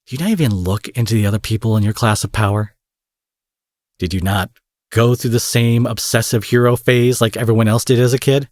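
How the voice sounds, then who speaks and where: A man's voice: mid-range voice, steady tone, brisk, a little mellow, no room sound, studio quality, lightly acted. A man playing an angry, protective supervillain in an intimate audio roleplay, menacing yet tender, speaking emphatically with swearing and pet names. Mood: angry, indignant.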